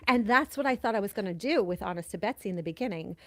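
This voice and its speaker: Woman guest, animated storyteller with frequent laughs, mid pitch, close dry mic. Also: quick pace, no echo. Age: 30s to 40s, mother of a nine-year-old. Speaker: podcast guest, performer. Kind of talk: casual interview chat.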